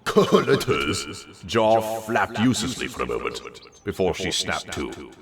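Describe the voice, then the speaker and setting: A man's voice: low-pitched, very animated, unhurried, vocal fry. A man reading a story aloud in a dramatic narrating style. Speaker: story reader.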